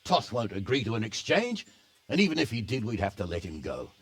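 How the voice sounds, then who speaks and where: Low-voiced resolute medieval lord. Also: male voice, very animated, fairly quick. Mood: grave, reasoning. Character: practical, resolute medieval lord planning a siege. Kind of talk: dramatic video game acting.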